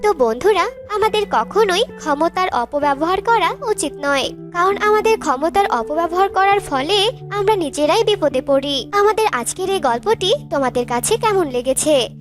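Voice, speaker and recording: A woman's voice: extremely high pitch, animated, moderate pace, over music. A woman narrator telling a scripted children's story.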